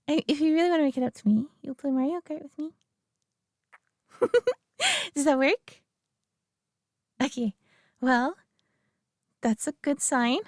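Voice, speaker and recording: A young woman playing a sweet, nervous girlfriend who talks softly to the listener, giggling and stammering often. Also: high voice, animated, slow, long pauses, dry recording, clean studio sound. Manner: giggly, stammering, soft-spoken. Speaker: audio roleplay performer. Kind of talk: girlfriend roleplay addressing the listener.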